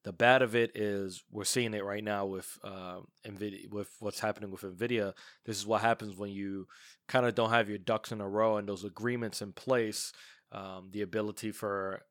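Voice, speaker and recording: A man with a lowish, stuffed-up voice from a cold talks through a solo gaming podcast in a loose, chatty way, full of "um"s and false starts. The sound is dry and close, with no room around it. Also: steady tone, moderate pace, dry recording. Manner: chatty, many fillers and restarts. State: congested from a cold. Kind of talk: casual solo podcast commentary.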